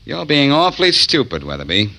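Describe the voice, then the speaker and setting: A man's voice: mid-range voice, huge pitch swings, brisk, gravelly, pushed and bright, exaggerated voice. An American man playing a cocky, wisecracking tough detective in a 1940s radio drama. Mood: cool, taunting. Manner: wisecracking, self-assured. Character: cocky, quick-witted tough amateur detective hero. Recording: vintage 1940s radio recording.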